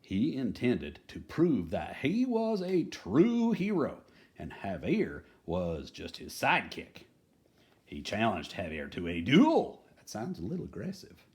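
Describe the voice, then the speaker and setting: A man's voice: fairly low pitch, huge pitch swings, a little slow. A man reading a children's picture book aloud in a folksy, playfully dramatic manner, adding chatty asides. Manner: folksy, playful dramatic delivery, dropping to hushed tones.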